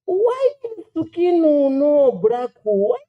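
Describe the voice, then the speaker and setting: A man voicing a curious, puzzled Japanese boy who asks questions in halting broken English during a spoken anecdote. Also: very high voice, big pitch swings, phone-quality sound, voice pushed up, heavily acted.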